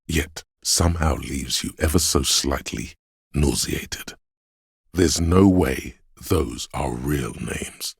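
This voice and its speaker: A man voicing a snobbish inner voice of artistic taste in a video game, gravelly and husky, with a pompous, deliberate, theatrical delivery. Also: extremely low pitch, dry recording, clean studio sound, exaggerated voice. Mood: haughty, intrigued, then disdainful. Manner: pompous, deliberate, disdainful, florid. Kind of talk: scripted game narration, theatrical.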